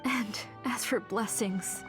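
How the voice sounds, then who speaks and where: A woman playing a grieving, embittered mother in a video game, speaking in an anguished, halting way. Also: on the high side, music in the background. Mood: anguished, bitter. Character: grieving, embittered aunt, a mother who lost her daughter.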